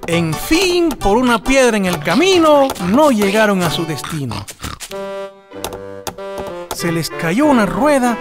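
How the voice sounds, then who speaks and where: A man with a Latin American Spanish accent playing a storyteller, giving scripted storybook narration for an animated folktale. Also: high voice, takes it slowly, pauses often, music in the background, full character voice. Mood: storytelling.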